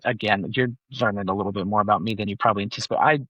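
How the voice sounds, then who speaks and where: A man in his forties from Utah talks casually as a guest, with a bright, forceful voice that rambles through plenty of "like"s. The audio is dry, with no room sound, and slightly muffled and lo-fi. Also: on the low side, steady tone, rapid-fire, no echo. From Utah, USA. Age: 40s. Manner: rambling, filler-heavy, many "like"s. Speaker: banker, guest. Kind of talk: casual interview chat.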